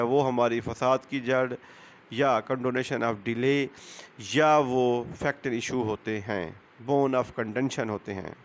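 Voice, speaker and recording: A man with a Pakistani accent, a lawyer presenting an explanatory lesson in a deliberate, didactic manner, repeating key phrases. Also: medium pitch, clean tone. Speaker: lawyer giving law lessons as channel host. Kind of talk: explanatory lecture.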